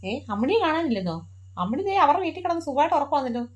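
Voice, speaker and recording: A woman's voice: sits high, very animated, very fast, highs cut off. A young woman playing a busy mother in a home-made family skit, fussing and scolding. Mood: puzzled. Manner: fussy, nagging, scolding. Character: busy mother who runs a beauty parlour.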